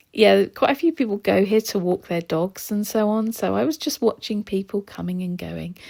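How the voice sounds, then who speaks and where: A woman narrating her art vlog in a relaxed, chatty way, her voice slightly hoarse as she loses it. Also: lowish voice. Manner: chatty, relaxed, with soft laughs and ums. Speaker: art vlogger. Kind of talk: casual voice-over narration.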